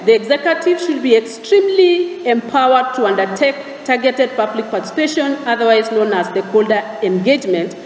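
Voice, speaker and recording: A woman with a Kenyan accent delivers a formal prepared speech at a government forum, in a measured manner, setting out her points in order. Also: a little high. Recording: distant, echoey hall with background noise.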